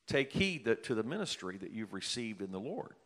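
A man's voice: on the low side, big pitch swings, a little fast, fairly bright tone. A man in his late fifties preaching a sermon, earnest and building to emphatic peaks as he tells his own story. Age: 50s. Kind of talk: sermon with personal testimony. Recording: long hall reverb.

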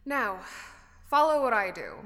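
A woman's voice: a little high, very animated, measured pace, a bit bright, big-room sound, full character voice. A woman playing the playful, encouraging ghost of a drama-school actress, theatrical and airy as she coaches. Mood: encouraging, coaxing.

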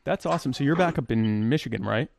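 A man's voice: mid-range voice, expressive, fast talker, vocal fry, slight background noise. A man hosting an online talk show, chatting casually in an easygoing, self-deprecating way with fillers. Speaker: show host. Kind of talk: casual conversation.

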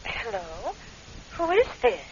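An actress playing a hesitant, puzzled young secretary heard over a telephone in an old-time radio drama. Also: female voice, a little high, wildly swooping pitch, slow, muffled recording, a little hiss or hum, full character voice.

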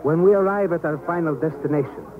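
A man playing a smug bomber villain with a slightly high voice, speaking in formal, precise, menacingly polite phrases. It is old radio thriller acting. The sound is tinny and phone-like, with crowd murmur behind. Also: fast talker, close to an everyday voice. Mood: cold menace. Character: coldly polite, pale-eyed foreign bomber villain.

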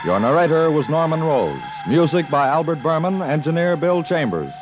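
A man's voice: a little high, big pitch swings, normal speed. A man announcing scripted radio credits in a formal, dramatic 1950s broadcast style. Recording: dull vintage radio broadcast recording. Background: loud music.

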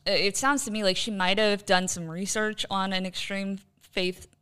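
A woman's voice: medium pitch, flat delivery, normal speed, pushed and bright, clean tone. A woman co-hosting a casual podcast, musing and thinking aloud as she talks. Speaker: podcast co-host, quiz contestant.

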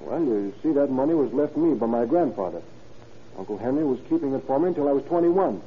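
A man's voice: mid-range voice, animated, fast talker, lightly acted. An actor playing an evasive, easily agitated young American man under suspicion in an old-time radio crime drama, deferential but defensive. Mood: sheepish, defensive. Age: young adult. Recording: muffled, noisy vintage radio recording.

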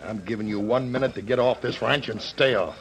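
Guy as bullying cowboy foreman, american, gruff rustic talk, old time radio western villain. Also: medium pitch, brisk, close to an everyday voice, low-quality recording. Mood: menacing. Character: hostile, bullying ranch foreman, a man.

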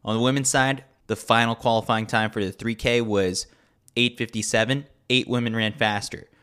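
A man's voice: mid-range voice, steady tone, normal speed, a bit bright, clear, resonant tone. A man reading a scripted sports newsletter as a podcast host, with a wry, tongue-in-cheek delivery. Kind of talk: scripted narration, sports newsletter read aloud.

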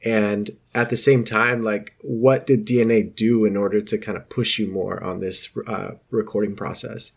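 A man's voice: fairly low pitch, fairly even intonation, normal speed, vocal fry, hardly any pauses, breathy edge. A man interviewing a singer, chatty and upbeat, asking long, rambling questions.

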